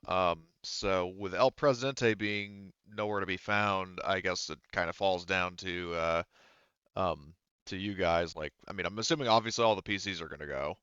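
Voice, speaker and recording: Male game master, deep and clean-toned, halting casual delivery, slightly dull dry audio. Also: a bit bright, clean tone, no room sound. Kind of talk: narrating an online tabletop game.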